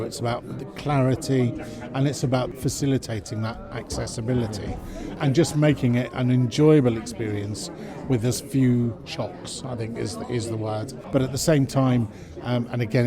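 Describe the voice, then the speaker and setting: A man in a conversational interview discussion, agreeing and making his points emphatically. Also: mid-range voice. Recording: music playing behind the voice. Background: music.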